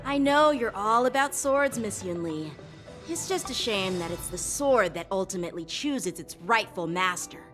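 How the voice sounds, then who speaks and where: Woman playing a cool, challenging young alchemist, calm delivery, music clearly audible behind. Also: medium pitch, normal speed, close to an everyday voice.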